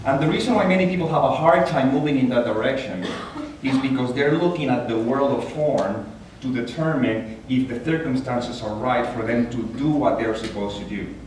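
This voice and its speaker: A man with a Puerto Rican accent giving an earnest, animated inspirational talk as a guest speaker at a church. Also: moderate pace. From Puerto Rico. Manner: earnest, animated, rises in pitch when stressing a point. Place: large church hall. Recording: echoey hall, taken from far off.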